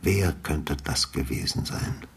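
An actor playing a calm, courteous older retired police detective who questions methodically in a measured way, with a husky, breathy bass, in a radio drama. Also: male voice, heavily acted. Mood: measured, probing.

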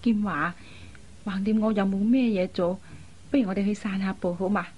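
An actress playing a considerate, demure young elder sister in a Cantonese radio drama, speaking in a gentle, earnest way. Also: female voice, medium pitch, fairly even intonation, moderate pace, husky, muffled recording, close to an everyday voice. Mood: apologetic. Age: young adult.

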